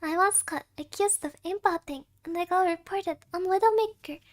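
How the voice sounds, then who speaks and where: Very high, childlike cutesy woman streamer. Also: moderate pace. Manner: cutesy, peppers talk with Japanese interjections. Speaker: virtual streamer. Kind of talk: casual playful co-stream chat.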